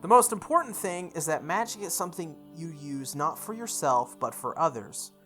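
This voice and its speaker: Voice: medium pitch, animated, moderate pace, a bit bright, pitched above the performer's own voice, close to an everyday voice. A player acting out a grave male magus mentor, solemn warnings, weighty delivery, visual novel game, music behind. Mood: solemn, instructive.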